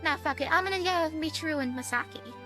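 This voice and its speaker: High bright girl voice playing a visual novel, reads stuff out n trips over words, music going behind. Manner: halting, stumbling over words when reading and commenting; casual, swearing. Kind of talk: gameplay commentary mixed with reading game text aloud.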